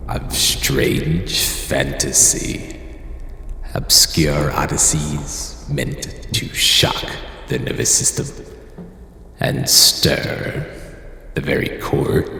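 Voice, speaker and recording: A man's voice: very deep voice, gravelly, pushed and bright, exaggerated voice. A man playing a sinister, theatrical horror-show host, ominous and grandiose, with a whispery delivery in an audio anthology introduction. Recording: cavernous echo with reverb and hiss.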